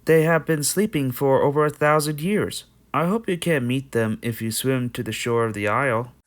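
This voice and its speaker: A man acts out a friendly young stallion from a fan-made cartoon dub. He is chatty and practical, explaining things earnestly in a clean, smooth voice. The sound is dry and close. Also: mid-range voice, normal speed, dry recording, close to an everyday voice. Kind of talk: amateur comic dub voice acting.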